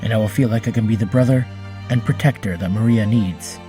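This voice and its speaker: A man playing an earnest, gentle android hero in an audio drama, with formal diction. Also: lowish voice, a little fast, faint music behind, natural voice. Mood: earnest, tender.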